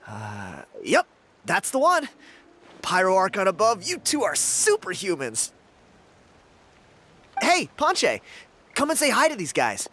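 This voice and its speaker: A man's voice: high voice, huge pitch swings, slow, pauses often, pushed and bright, exaggerated voice. An actor playing a friendly, enthusiastic young tribesman in a video game, with an emphatic, effusive manner.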